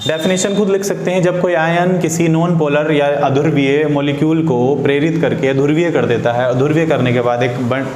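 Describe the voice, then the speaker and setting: A man's voice: on the high side, steady tone, normal speed, few pauses, darkish tone. A man teaching an informal lesson to students, repeating phrases and asking them rhetorical questions. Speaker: chemistry teacher. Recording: echoey room with faint background noise.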